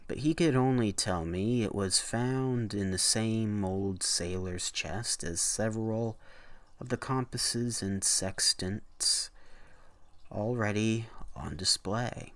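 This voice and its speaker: A low-pitched man reads like a theatrical horror narrator. His delivery is slow and deliberate, with expressive rises and falls, in a close, dry sound. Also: no room sound. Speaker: narrator of horror story readings. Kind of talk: dramatic scripted narration.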